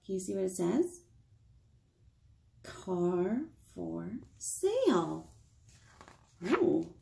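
An American woman in her thirties leading a picture-book storytime for small children, playful and expressive, asking them questions. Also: on the low side, drawn-out words, creaky. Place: small indoor room with whiteboard.

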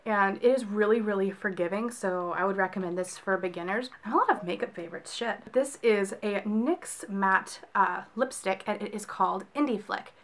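Young Texan woman vlogger, hoarse from a cold; casual, rambling chat to camera. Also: mid-range voice, moderate pace, hardly any pauses. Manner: chatty, rambling, with frequent laughs. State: soft, scratchy voice from a cold. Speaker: book and beauty vlogger. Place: small room in front of bookshelves, indoors.

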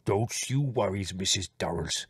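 A big, eager-to-please Greek man, with a low, husky rumble. His English is broken and heavily accented, with extra endings on his words. The recording is close and dry. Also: expressive, normal speed, fairly bright tone, dry recording, heavily acted. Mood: reassuring. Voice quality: gravelly, rumbling.

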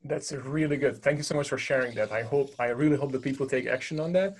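A man from Hungary with a Hungarian accent hosts a podcast in a mid-pitched voice. He speaks casually, thanks his guest again and again, and leans on fillers like "um" and "you know". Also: fast talker. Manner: friendly, effusive thanks, fillers. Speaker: podcast host.

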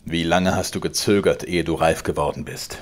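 A man reading a story voices a domineering old father who rants loudly, mocking and accusing his son. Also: sits low, steady tone, normal speed, lightly acted. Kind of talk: audiobook character voice.

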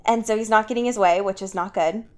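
A woman's voice: medium pitch, fast talker. A woman podcast host chatting casually and retelling a story in slangy language, acting out reactions as she goes. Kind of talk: casual, slangy chat recapping a book.

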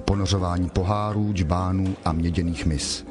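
A man narrating scripture from a script in a solemn, measured manner. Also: sits low, steady tone. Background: music.

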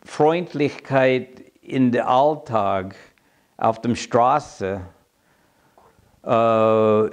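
A man in his seventies with an American accent and English as his first language, giving a reflective personal account and haltingly searching for words. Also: mid-range voice. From United States. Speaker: interviewee telling his migration story. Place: quiet room indoors.

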